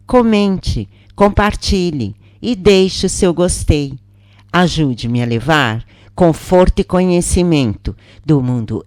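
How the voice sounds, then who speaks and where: A woman, narrating a spiritual story in the first person with a slow, expressive reading. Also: deep voice, no room sound, studio quality. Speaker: channel narrator.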